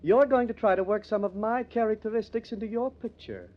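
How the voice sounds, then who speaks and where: An actor playing a smug, condescending young millionaire heir with refined manners in an old-time radio drama. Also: male voice, high-pitched, brisk, natural voice. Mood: defensive, smug. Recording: dull, lo-fi old radio recording.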